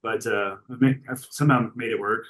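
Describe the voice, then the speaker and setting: A gravelly-voiced man in his forties talks at an ordinary middle pitch. He answers questions in an easygoing, rambling way, full of "uh" and "you know". He is heard from a hotel room through a video call. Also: fairly quick. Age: 40s. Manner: relaxed, rambling, with frequent fillers. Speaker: guest musician. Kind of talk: casual interview chat.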